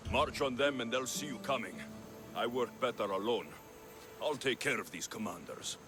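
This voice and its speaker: A man's voice: medium pitch, animated, moderate pace, over music, natural voice. A man voicing a confident young Greek mercenary hero in a game cutscene, talking in a cool, dry, self-assured way. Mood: steady. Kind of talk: video game cutscene acting. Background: orchestral score.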